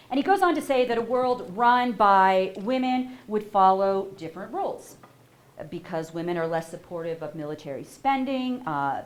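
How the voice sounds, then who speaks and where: Woman lecturing with lively questions. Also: medium pitch, a little hiss or hum. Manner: animated, asks the audience questions. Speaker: university lecturer. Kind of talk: public lecture. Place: lecture hall.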